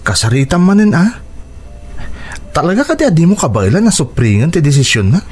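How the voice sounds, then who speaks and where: A man's voice: fairly high pitch, very animated, a little fast, darkish tone, husky. An actor playing a concerned young Ilocano man, an encouraging cousin with an urging manner, in a radio drama.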